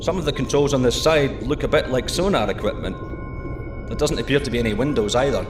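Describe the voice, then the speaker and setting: A man's voice: mid-range voice, a little fast, close to an everyday voice. A man voicing an awestruck, wisecracking male explorer in an audio drama, over a music bed. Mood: awed. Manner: breathless wonder, irreverent jokes. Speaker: submarine diver McGovern.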